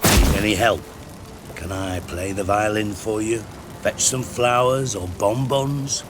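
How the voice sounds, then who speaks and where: Casting: male actor for middle-aged game spymaster, mocking, blunt, scheming, on the low side. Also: steady tone, measured pace, noisy background, close to an everyday voice. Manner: mocking, mock-courtly. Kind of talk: video game voice acting. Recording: loud music behind the voice.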